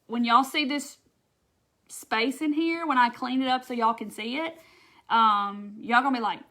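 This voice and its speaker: Host, female, Southern US accent, bright higher voice, chatty and folksy on a craft live stream. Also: a little slow. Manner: chatty, folksy, laughs often, addresses viewers by name. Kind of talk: casual chat reading viewer comments.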